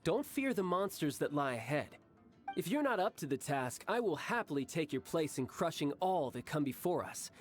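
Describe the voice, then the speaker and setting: An actor playing a sly, cocky young warrior in a video game, smooth, teasing and theatrical, relishing battle. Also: male voice, a little high, big pitch swings, moderate pace, soft background music. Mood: cocky, battle-hungry. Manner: theatrical, teasing, self-assured.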